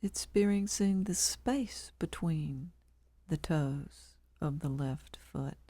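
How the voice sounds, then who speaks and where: A woman leading a guided body scan meditation, calm and measured, leaving long pauses between short phrases. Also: low voice, no echo.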